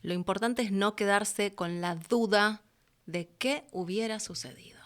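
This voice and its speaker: A woman with a Rioplatense Argentine accent telling stories casually as an interview guest, expressive and colloquial. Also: mid-range voice, a little slow. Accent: Rioplatense Argentine Spanish. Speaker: voice actress and announcer. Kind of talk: casual conversational storytelling.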